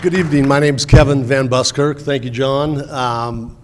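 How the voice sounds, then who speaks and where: A man's voice: mid-range voice, fairly even intonation, normal speed, a crowd in the background, noisy background. A man in his fifties from California, a union representative introducing himself in a down-to-earth, slightly nervous way. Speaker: union business representative, panelist.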